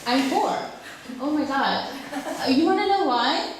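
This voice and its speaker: A woman's voice: sits high, lively intonation, a little slow, distant mic, a crowd in the background. Woman speaking in a big echoey hall. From Ukraine. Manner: hesitant, many fillers and restarts. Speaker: guest speaker, drawing teacher. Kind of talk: Q&A answers at a public talk.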